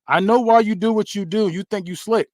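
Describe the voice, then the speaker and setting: A young male rookie calls out a veteran in a raised, defiant voice. A man puts him on, pitching up from his usual speech. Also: fairly quick, a little mellow, no room sound, studio quality, natural voice. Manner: defiant, calling out.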